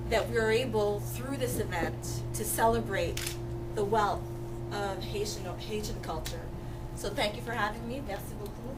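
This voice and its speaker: A woman from Ottawa, Canada, giving a formal but hesitant speech with fillers at a festival launch. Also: mid-range voice. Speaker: guest speaker. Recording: distant mic with background noise.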